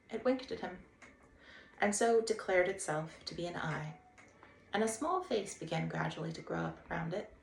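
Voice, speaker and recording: A woman narrates a children's story in a roomy, echoing space with faint noise. Her voice sits slightly low and has plenty of creak. She reads expressively, as the host of a read-aloud.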